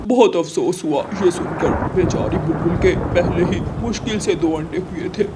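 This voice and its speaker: A woman's voice: fairly low pitch, normal speed, very noisy, natural voice. A woman voicing a concerned, brotherly male crow who speaks in an earnest, cautioning way.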